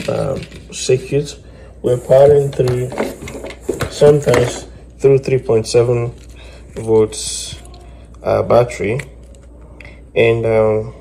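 A man's voice: medium pitch, mellow. A man giving an informal, step-by-step hands-on demonstration as the host of an electronics tutorial. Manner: explanatory, step by step. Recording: faint background noise.

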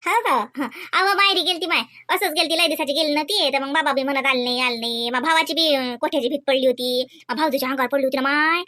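A woman's voice: high voice, fairly even intonation, fast talker, hardly any pauses, pushed and bright. A woman playing a chatty village housewife and mother, exclaiming and gossiping in rustic Varhadi Marathi. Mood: gossipy. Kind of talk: Marathi comedy cartoon dialogue.

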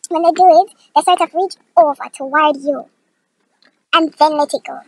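A woman performing a theatrical audition monologue as an exasperated, emphatic complainer disgusted by sick people, with deliberate pauses. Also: sits high, fairly even intonation, low-quality recording. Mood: disgusted complaint.

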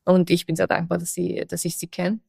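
A woman's voice: fairly low pitch, completely flat delivery, fairly quick, gravelly, a little mellow, breathy edge, no room sound. Woman, young adult, Afghan accent, non-native speaker, hesitant fillers, dry close sound. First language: not German. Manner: frequent hesitations and fillers, laughs often. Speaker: guest, human rights activist. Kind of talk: podcast interview.

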